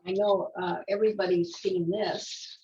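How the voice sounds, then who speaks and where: An older woman reading a picture book aloud, unhurried, with casual explanatory asides. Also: medium pitch, little pitch movement, warm tone, slight background noise. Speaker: children's story reader. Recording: dull, muffled lo-fi sound.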